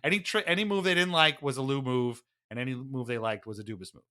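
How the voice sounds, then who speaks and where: A man co-hosting a podcast, explaining things in casual sports talk with animated, wry asides. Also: mid-range voice, quick pace. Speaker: podcast co-host.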